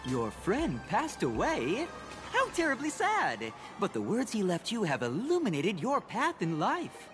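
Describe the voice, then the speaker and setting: A woman's voice: medium pitch, huge pitch swings, unhurried, faint music behind. An actress playing a saccharine, pious female recruiter for a happiness cult in a video game, effusive, formal and sanctimonious. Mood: pious sympathy. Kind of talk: theatrical video game voice acting.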